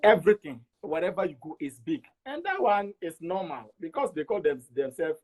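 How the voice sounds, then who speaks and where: Higher-voiced Togolese man telling his story. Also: sing-song, moderate pace, a little mellow, poor audio. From Togo. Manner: repeats words for emphasis, acts out quoted dialogue. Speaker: interview guest. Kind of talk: animated personal storytelling.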